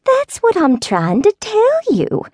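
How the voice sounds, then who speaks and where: A woman voicing a fussy elderly neighbor with a Southern accent, who talks in a slow, meandering, wavering falsetto. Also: huge pitch swings, darkish tone, no room sound, clean studio sound, full character voice. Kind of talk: audiobook character voice.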